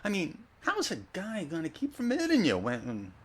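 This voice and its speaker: A man's voice: high-pitched, huge pitch swings, normal speed, background noise. A man playing an agitated, slangy male driver in old time radio drama style, blustering and relieved. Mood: relieved, flustered.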